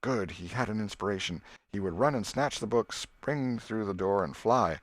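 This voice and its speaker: Male narrator, deep pitch, expressive storytelling, scripted audiobook reading, dry close recording. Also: normal speed, no echo.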